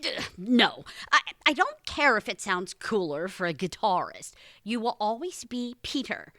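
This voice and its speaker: A woman's voice: medium pitch, big pitch swings, a little slow, pushed and bright, no room sound, studio quality. A woman acting in an audio play as a fussy, prim, disapproving older mother, scolding in a theatrical way. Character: fussy, disapproving, genteel eccentric mother of grown children.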